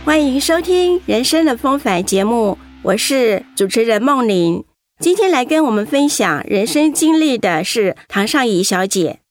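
A woman's voice: on the high side, sing-song, moderate pace, no room sound, faint music behind. An actress playing an attentive, encouraging female radio host in an audio drama. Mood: presenting. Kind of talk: radio drama acting as presenter.

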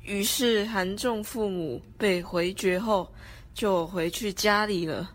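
A woman's voice: medium pitch, slow. A teenage girl narrating a scripted story for a student radio drama. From Taiwan. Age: teens.

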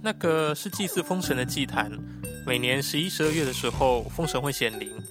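A man acting as a calm, cautious guide in an audio drama, explaining things in a matter-of-fact way. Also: mid-range voice, brisk, over music, natural voice. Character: calm, cautious male guide to a hidden tribe's land. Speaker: voice actor.

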